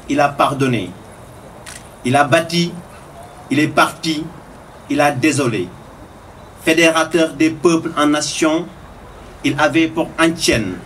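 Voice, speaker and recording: A man reciting a poem of tribute in a solemn, oratorical manner with measured phrasing. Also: a little high, a bit bright. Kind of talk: declaimed poetry recital. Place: live recital at a public tribute event. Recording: distant microphone with faint noise.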